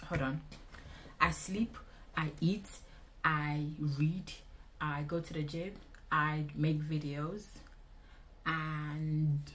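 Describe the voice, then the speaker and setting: A woman in her twenties with a Nigerian accent, chatting casually to camera in an indoor vlog, expressive and repeating phrases for emphasis. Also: sits low, a little hiss or hum. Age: 20s. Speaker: vlogger. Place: small indoor room.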